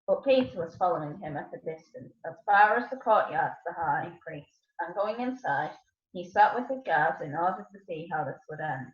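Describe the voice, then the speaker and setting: A woman's voice: lowish voice, expressive, moderate pace. A woman narrating a dramatised scripture reading as a scripted narrator. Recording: echoey room, dull sound, slight noise.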